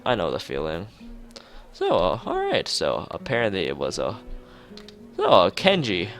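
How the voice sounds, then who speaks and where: A man reading a visual novel aloud as a let's player, casually, with halting, rambling asides. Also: low-pitched, wildly swooping pitch, a bit bright. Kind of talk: casual game narration with side commentary. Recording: music behind the voice. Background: game music.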